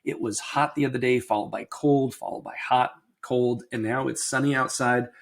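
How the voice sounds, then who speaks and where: A middle-aged man with a slightly crackly voice hosting a casual personal health podcast, talking candidly and explaining in a measured way. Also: mid-range voice, fairly even intonation. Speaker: podcast host.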